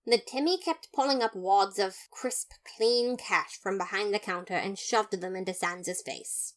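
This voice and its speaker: A woman narrates a fan fiction audiobook in the first person. Her voice sits at an average pitch for a woman, and her delivery is theatrical and emphatic. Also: a little slow. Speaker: podfic reader.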